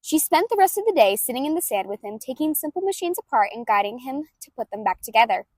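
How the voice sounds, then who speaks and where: A woman reading fan fiction aloud as an amateur narrator, expressive and informal. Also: high voice, fast talker, no echo. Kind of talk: expressive story narration and casual asides. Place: outdoors in a park near a road.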